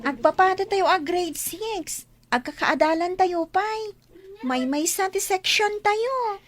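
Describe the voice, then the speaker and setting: Very high-pitched, chirpy schoolgirl. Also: animated, no echo, heavily acted. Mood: playful, teasing. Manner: giggly, playful, drawn-out exclamations. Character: chirpy, teasing grade-six schoolgirl. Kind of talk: Ilocano radio drama acting.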